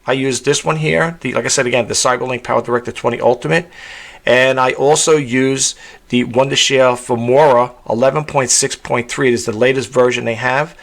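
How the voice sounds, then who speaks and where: A man with a self-described monotone voice giving a casual, rambling tutorial vlog, full of fillers and restarts. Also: mid-range voice, brisk, creaky. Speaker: content creator.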